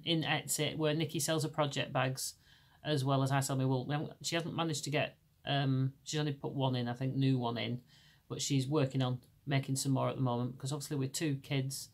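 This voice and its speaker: A middle-aged woman from England with a Lancashire accent, hosting a podcast in casual chat. Her naturally deep voice is still hoarse from laryngitis, and she rambles with many restarts. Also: a bit bright. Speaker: podcast host.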